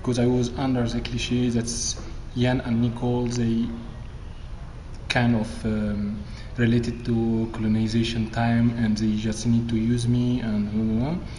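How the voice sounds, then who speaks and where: Guy, even mellow voice, bit echoey room. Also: mid-range voice. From Egypt. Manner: non-native English, halting grammar. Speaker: theatre performer. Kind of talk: festival interview. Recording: echoey room with faint noise.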